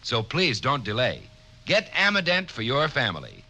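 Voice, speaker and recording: A man's voice: mid-range voice, huge pitch swings, normal speed, bright, forceful tone. A man announcing an earnest, persuasive 1940s radio commercial.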